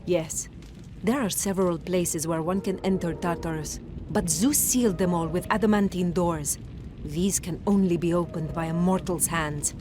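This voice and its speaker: An actress playing a calm, commanding goddess who guides a hero, speaking in a solemn, measured storytelling tone. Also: female voice, lowish voice, noisy background, close to an everyday voice. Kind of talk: game cutscene narration.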